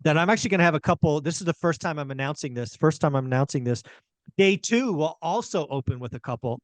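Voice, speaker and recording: Man, podcast host, upbeat, promotional, slightly high voice, dry recording. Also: lively intonation, fast talker, dry recording, poor audio. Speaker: podcast host, event organizer. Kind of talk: hosting a podcast.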